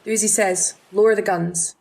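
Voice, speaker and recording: A woman's voice: medium pitch, steady tone, moderate pace, creaky, darkish tone, natural voice. A woman acting a composed, polite task force officer in an audio drama, speaking formally and earnestly. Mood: commanding.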